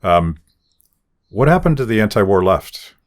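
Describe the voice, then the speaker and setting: A man hosting an interview in a casual, halting, rambling conversational way. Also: lowish voice, big pitch swings. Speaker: interview host.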